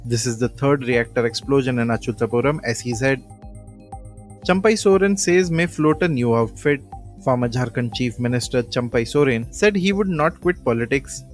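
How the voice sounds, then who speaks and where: A man's voice: mid-range voice, normal speed. Indian-accented male newsreader with music behind. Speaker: news presenter. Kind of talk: scripted radio news bulletin.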